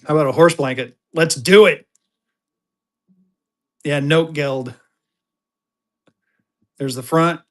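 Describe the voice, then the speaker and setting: A man's voice: on the high side, slow pace, long pauses. Chatty man selling on a live stream. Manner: chatty, excitable, jokey. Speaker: live-stream auction seller.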